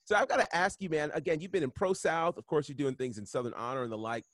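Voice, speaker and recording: A man hosting an interview, expressive and quick to laugh. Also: a little high, no echo, studio quality. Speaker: interviewer host. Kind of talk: casual podcast interview.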